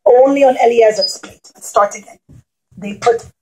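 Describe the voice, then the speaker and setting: A woman with a high voice tells a puppet-show story to young children. She uses simple words and repeated phrases, in the animated way of a children's storyteller. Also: normal speed, rough recording.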